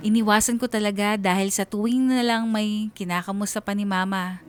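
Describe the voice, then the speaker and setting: A woman's voice: mid-range voice, fairly even intonation, brisk, soft background music, natural voice. An actress playing a hurt young Filipino woman who confides her life story as first-person narration in a radio drama. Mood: sorrowful.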